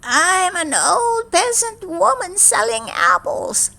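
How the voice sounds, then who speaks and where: High, wheedling old peasant crone. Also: female voice, big pitch swings, gravelly, bright, forceful tone, heavily acted. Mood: sly coaxing. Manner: coaxing, wheedling, drawn-out phrasing. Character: wicked stepmother disguised as sly old peasant woman.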